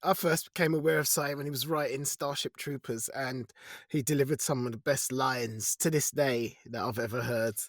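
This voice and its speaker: A man's voice: medium pitch, steady tone, normal speed, no room sound, clean studio sound. A middle-aged man co-hosting a podcast, chatting casually and bantering as he goes through news. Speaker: podcast co-host.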